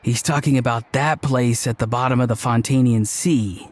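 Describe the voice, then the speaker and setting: An actor playing an excitable young man in a video game who talks eagerly and jumps to conclusions. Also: medium pitch, normal speed, slight background noise, natural voice. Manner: chatty, eager, jumping to conclusions. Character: excitable young man, a gangster-film fan. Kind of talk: video game cutscene dialogue.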